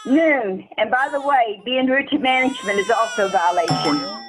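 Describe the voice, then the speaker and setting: A woman's voice: a little high, moderate pace, background noise, close to an everyday voice. A woman playing a greedy, officious property manager in an amateur radio play, smug and falsely sympathetic. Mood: mock-sympathetic.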